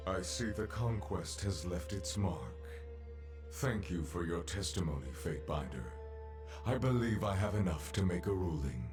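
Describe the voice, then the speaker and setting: An actor playing a stern, imperious judge in a video game, speaking formally and solemnly, as if pronouncing sentence. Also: male voice, deep voice, animated, moderate pace, music in the background. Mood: cold questioning. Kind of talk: dramatic video game voice acting.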